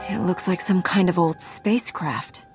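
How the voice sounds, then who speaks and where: A woman playing a calm, selfless heroic knight in a video game, speaking briefly, firmly and with composure. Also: fairly low pitch, moderate pace, soft background music, natural voice. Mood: calm, watchful. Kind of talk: scripted video game character acting.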